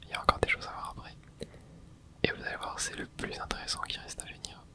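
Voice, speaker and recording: A host whispering casual ASMR commentary over gameplay, reacting excitedly and chatting to viewers. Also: fast. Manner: casual, chatty, self-correcting. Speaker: channel host.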